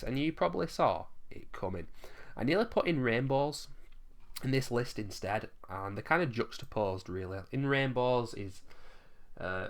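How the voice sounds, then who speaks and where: A man with a hoarse, fading voice, chatting enthusiastically through a countdown of his favourite albums and swearing casually now and then. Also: lowish voice. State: losing his voice, coughing. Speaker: music vlogger ranking albums.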